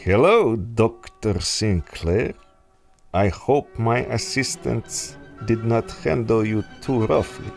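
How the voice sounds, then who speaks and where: Brief: actor doing a smooth mastermind villain, unhurried, theatrical swoops in pitch, soft music under. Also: male voice, animated. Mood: silky menace. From Russia. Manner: smooth, silky, menacing, slow and deliberate. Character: suave treacherous male scientist villain, exiled aristocrat. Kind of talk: pulp adventure audio drama.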